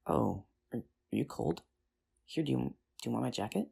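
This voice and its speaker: A performer in an ASMR roleplay playing a nerdy, earnest high school teacher, rambling with hesitant, self-deprecating charm in an intimate, conversational way. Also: lively intonation, moderate pace, creaky, a little mellow, husky, full character voice. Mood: playful, friendly.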